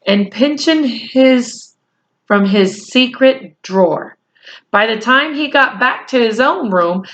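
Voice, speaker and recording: Unhurried woman storyteller, slightly dull sound. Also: mid-range voice. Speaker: read-aloud storyteller. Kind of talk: scripted storybook narration.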